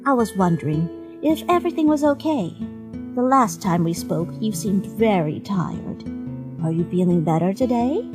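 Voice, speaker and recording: Woman voicing a gentle, courteous motherly monster lady. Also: mid-range voice, animated, moderate pace, lightly acted. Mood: courteous, caring. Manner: polite, formal, soothing storyteller. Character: gentle, caring, courteous motherly female monster behind a door. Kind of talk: comic dub voice acting. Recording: music behind the voice.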